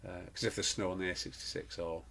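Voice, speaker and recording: A man's voice: deep voice, steady tone, fast talker, creaky, fairly bright tone, slight background noise. A middle-aged man, a pantomime performer telling stories in an interview, rambling with fillers and restarts. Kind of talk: casual interview chat.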